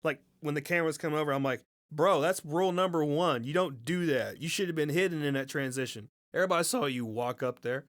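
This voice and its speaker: Male podcast host, slightly high, smooth resonant tone, laid-back. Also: fairly quick, few pauses, a bit bright. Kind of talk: casual chat.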